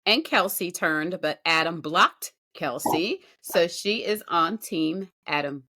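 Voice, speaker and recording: A middle-aged woman giving casual reaction commentary as a podcast co-host, expressive and emphatic. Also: on the low side, slow. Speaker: podcast co-host reviewing a singing show.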